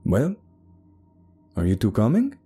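A man's voice: on the low side, huge pitch swings, slow pace, dark tone, breathy edge, faint music behind, voice pushed up, full character voice. A man voicing a smug, mysterious, boastful male traveler in a told story. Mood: commanding.

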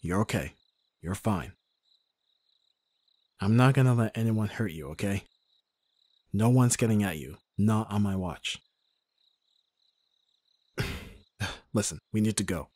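A man playing a gruff, protective young bodyguard in an ASMR roleplay, with a husky voice and a sardonic, intimate delivery. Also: lowish voice, lively intonation, takes it slowly, pauses often, a little mellow, no room sound. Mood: calm, reassuring. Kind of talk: ASMR roleplay audio drama.

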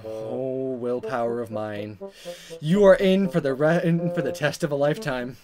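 A woman's voice: very deep voice, normal speed, over music. A woman hosting a let's play, reading dialogue aloud dramatically and commenting in a playful, exaggerated way. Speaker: gaming video host.

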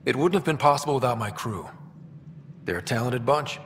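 A man's voice: medium pitch, a little fast, long reverb tail, a little hiss or hum, lightly acted. A man playing an earnest, level-headed young human explorer in a video game, speaking plainly and casually. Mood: firm.

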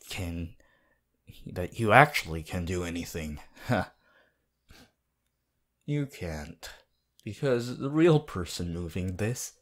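A low-voiced, unhurried teenage anime boy, acted by a man, talks closely to the listener in an ASMR roleplay. He is laid-back and sceptical, teasing in a casual, halting way. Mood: teasing, challenging. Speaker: voice actor.

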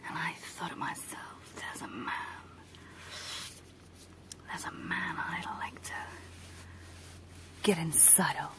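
A creepy female clown dentist, acted out by a woman in an ASMR horror roleplay. She whispers breathily and very slowly, drawing out each phrase with a teasing menace. The voice sits low and close. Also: poor audio. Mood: creepy, predatory. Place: small dark room indoors, close to the mic.

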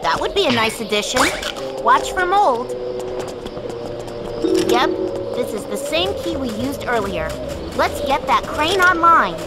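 A woman's voice: sits high, big pitch swings, a little slow, pauses often. An actress playing a brainy, inquisitive young female sleuth in a cartoon video game. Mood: pleased, analytical. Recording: loud game music behind.

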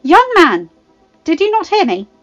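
A woman's voice: high voice, very animated, fast, no room sound, soft background music, voice pushed up. A woman voicing a loving, nervous but protective French mother in a story. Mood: sharp, defiant.

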